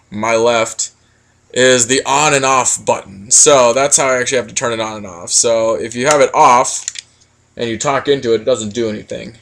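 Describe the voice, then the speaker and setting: A man's voice: medium pitch, slight background noise. A man giving a casual product critique, explaining things in a rambling, matter-of-fact way. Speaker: product reviewer.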